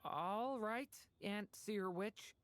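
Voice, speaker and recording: A woman's voice: mid-range voice, drawn-out words. An actress playing a polite, innocent young boy in a video game, with an earnest, hesitant, deferential manner. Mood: polite, shy. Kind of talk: scripted game dialogue.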